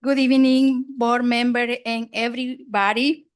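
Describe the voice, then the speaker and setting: Woman, Salvadoran Spanish accent, high voice, halting public comment at a school board podium. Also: fairly even intonation. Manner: careful, halting learner's English. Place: board meeting room.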